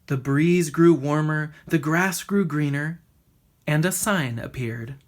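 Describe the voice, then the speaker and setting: A woman reading a children's storybook aloud in an expressive narrating style. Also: low voice, slow pace. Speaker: storybook reader.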